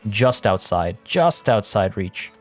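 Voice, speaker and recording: A man with a lowish voice talks casually over gameplay, rambling and correcting himself as he goes. He sounds a bit hoarse, and soft music plays faintly underneath. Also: sing-song, slow pace. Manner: rambling, self-correcting, chatty with viewers. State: losing his voice. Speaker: let's play host.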